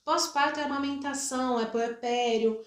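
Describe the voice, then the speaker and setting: A woman's voice: high-pitched, steady tone, moderate pace. A woman, talking casually as an interview guest in a candid, wryly ironic, colloquial way. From Brazil. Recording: roomy, echoing room sound.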